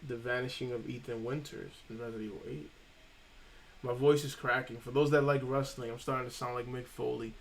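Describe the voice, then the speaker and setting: Casting note: male streamer with a gravelly, creaky voice, casual and rambling. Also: medium pitch, steady tone, a little fast, slight background noise. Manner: rambling, chatty, says "freaking" a lot. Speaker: streamer reviewing trophy lists. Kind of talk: casual live-stream chat.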